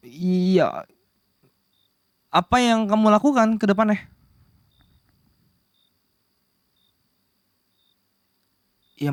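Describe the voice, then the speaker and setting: Man voicing a young demon prince, soft-hearted tsundere, possessive and easily flustered, ASMR roleplay, close dry sound. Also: high voice, dry recording. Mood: hesitant. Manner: stammering, flustered denials, possessive commands. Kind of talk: ASMR romantic roleplay acting.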